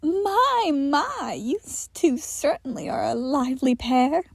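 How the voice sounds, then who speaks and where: A woman's voice: high voice, big pitch swings, slow pace, vocal fry, no room sound, clean studio sound, pitched above the performer's own voice, exaggerated voice. A woman voicing a teasing, grinning inhuman woman in an audio story, playful and chiding. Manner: playful, chiding, mock-sweet.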